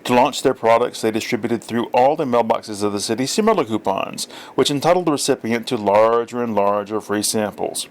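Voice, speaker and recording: A man's voice: mid-range voice, brisk, few pauses. A man reading a literary story aloud as scripted narration. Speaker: audiobook reader.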